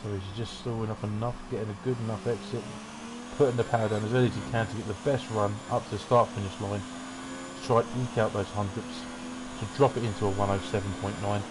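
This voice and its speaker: A man giving relaxed, rambling commentary on an online racing qualifying session. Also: on the low side, fast talker, noisy background. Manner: relaxed, rambling, self-deprecating asides. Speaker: race commentator.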